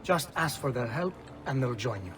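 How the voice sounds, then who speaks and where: An actor playing a practical thief, briskly giving out jobs in a video game. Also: male voice, medium pitch, lively intonation, a little hiss or hum, lightly acted. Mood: brisk, instructive. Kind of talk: video game cutscene acting. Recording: game dialogue under loud music.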